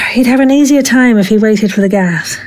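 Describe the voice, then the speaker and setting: A woman's voice: a little high, moderate pace, lightly acted, rough recording. A woman playing a cool, cautious military scientist in an audio drama. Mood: dry, cautious.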